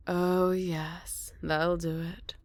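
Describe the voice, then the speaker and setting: A woman playing a smug, flirty captured villain in an ASMR roleplay, teasing and coy with breathy murmurs. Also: on the low side, level tone, very slow, no echo. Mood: playful, coaxing.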